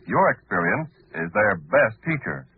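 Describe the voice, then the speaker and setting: A man's voice: lowish voice, sing-song, normal speed, a little hiss or hum. A man announcing in an emphatic, dramatic, scripted 1940s radio style. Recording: muffled old radio broadcast.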